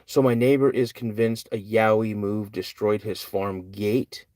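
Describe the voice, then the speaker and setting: A man's voice: on the low side, level tone, measured pace, darkish tone, no room sound. An older man hosting a live podcast, reading and answering chat questions in a rambling, earnest, self-correcting way. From United States. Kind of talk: casual live-stream chat.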